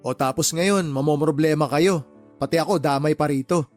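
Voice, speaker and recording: A man's voice: a little high, moderate pace, darkish tone, soft background music, natural voice. A man acting in a radio drama as a caring but easily exasperated uncle, speaking emphatically and with concern.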